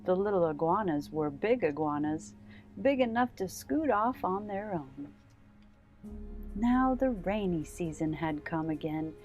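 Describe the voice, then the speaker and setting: Slow-paced woman reading a children's story. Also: medium pitch, a little mellow. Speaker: children's story time host. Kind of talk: read-aloud storybook narration.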